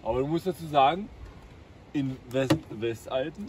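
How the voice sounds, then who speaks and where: A man's voice: medium pitch, lively intonation, moderate pace. Chatty man vlogging from a camper van. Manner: chatty, tag questions "ne?". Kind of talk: casual family travel vlog. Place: inside a camper van and outdoors by it. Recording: road and vehicle noise.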